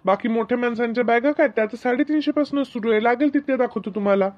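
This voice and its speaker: A man's voice: very high voice, quick pace. A man voicing a persistent, wheedling young bag seller in a comedy cartoon, persuasive and protesting, on a lo-fi recording. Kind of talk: Marathi comedy cartoon dub.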